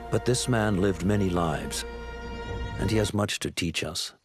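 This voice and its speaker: A man playing a solemn elder of a secret order, narrating gravely and in measured phrases for a video game. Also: deep voice, over music. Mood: solemn, reverent. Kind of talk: scripted dramatic narration.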